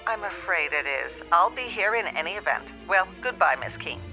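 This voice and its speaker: An actress playing a polite, matter-of-fact phone company operator in a radio drama, businesslike and heard through a telephone filter. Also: female voice, lowish voice, lively intonation, normal speed. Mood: courteous. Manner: evasive, businesslike, courteous. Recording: dull telephone-style sound, faint music.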